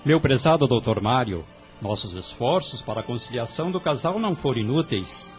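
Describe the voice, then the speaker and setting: Guy doing pompous kindly judge, super lively intonation, radio play, muffled audio with music. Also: mid-range voice, fairly quick, lightly acted. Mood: solemn, benevolent. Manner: formal, ceremonious, grandiloquent. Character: solemn, benevolent male judge, ceremonious and devout. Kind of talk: audio drama acting. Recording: dull lo-fi audio, music underneath.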